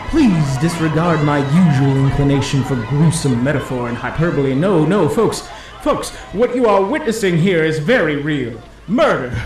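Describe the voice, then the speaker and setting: A showy bowling announcer from a 1950s radio play, acted by a man, pitched fairly high. He calls the game in folksy, theatrical showman patter, with echo around him and music clearly underneath. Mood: shocked, theatrical. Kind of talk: 1950s radio drama acting, sports commentary.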